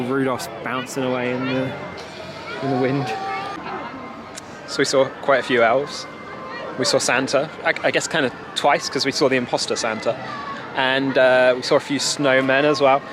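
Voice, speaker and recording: A man's voice: mid-range voice, fairly even intonation, moderate pace, long pauses. A young British man giving chatty, improvised commentary as he films a casual walk-around vlog outdoors with a camera at arm's length. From Britain. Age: young adult. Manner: chatty, loosely improvised observations. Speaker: travel vlogger. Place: outdoors in a busy theme park. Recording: outdoor crowd chatter and heavy ambient noise.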